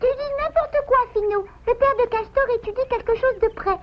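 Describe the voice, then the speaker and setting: A woman's voice: extremely high pitch, fairly quick, a little hiss or hum. A woman voicing a loyal, concerned young bear cub in a cartoon dub. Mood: protective. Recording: dull lo-fi soundtrack, faint music.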